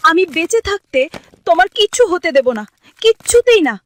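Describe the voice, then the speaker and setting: A woman's voice: very high voice, sing-song, brisk. A woman playing a determined, devoted wife in a cartoon drama, speaking with fervent emotion. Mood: desperate, resolute. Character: Mithila, determined devoted wife fighting to save husband. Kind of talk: Bengali cartoon drama acting.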